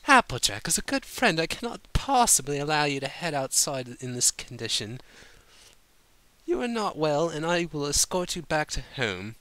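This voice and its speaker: A man voicing a prim, fastidious female unicorn pony in a cartoon fan story, speaking firmly and properly. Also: fairly high pitch, very animated, bright, forceful tone, no room sound, voice pushed up. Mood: firm, polite.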